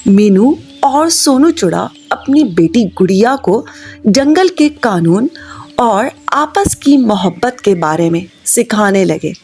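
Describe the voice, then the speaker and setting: Female story narrator, slow delivery, swooping intonation, children's tale reading, background music. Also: medium pitch, animated, darkish tone, slightly rough. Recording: music bed under the voice.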